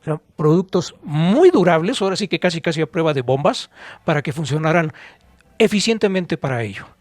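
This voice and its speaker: Higher-voiced Mexican man, close dry sound. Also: big pitch swings, fairly quick, no echo. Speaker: podcast co-host. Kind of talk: casual conversational talk recalling radio technology and history.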